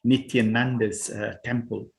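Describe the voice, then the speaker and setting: A man's voice: mid-range voice, steady tone, a little slow, gravelly. A man from Singapore, a spiritual healer and meditation teacher, talking as a guest in a casual interview over a video call, hesitant with many restarts. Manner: hesitant and searching, many restarts and "you know".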